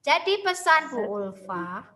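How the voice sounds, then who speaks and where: A woman's voice: high-pitched, big pitch swings, sharp tone, slight background noise. A woman teacher with a Javanese accent, patiently explaining a lesson to young children over a video call. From Central Java, Indonesia. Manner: slow, patient, addresses children with tag questions. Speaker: primary school teacher. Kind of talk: online class lesson.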